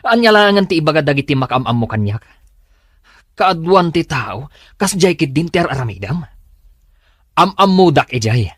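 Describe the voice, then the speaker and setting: A man's voice: fairly high pitch, very animated, normal speed, long pauses, breathy edge, dry recording, studio quality. An actor playing a cocky, defensive young womanizing boyfriend in a Filipino radio drama, with a Filipino accent. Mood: scolding. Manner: defensive, exasperated, self-satisfied. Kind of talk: Philippine radio drama acting.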